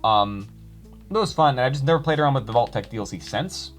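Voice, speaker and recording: A man's voice: medium pitch, animated, fast talker, faint music behind. A young man chatting casually to his viewers, rambling with many fillers and restarts. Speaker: YouTuber reading comments.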